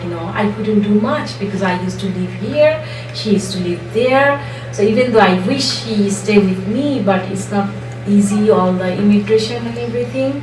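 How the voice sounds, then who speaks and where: A woman in her fifties, from Bangladesh, speaking in a halting, heartfelt way with frequent pauses in a documentary interview. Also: mid-range voice, reverberant, far from the mic, background noise. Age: 50s.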